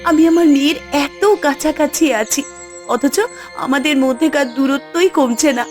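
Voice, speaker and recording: A woman's voice: sits high, moderate pace, soft background music, close to an everyday voice. A woman voicing a sorrowful fairy mother in a fairy-tale cartoon, emotive, pleading and dramatic. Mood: anguished. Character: sorrowful fairy mother hiding her identity from her daughter. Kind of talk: Bengali fairy-tale cartoon dub acting.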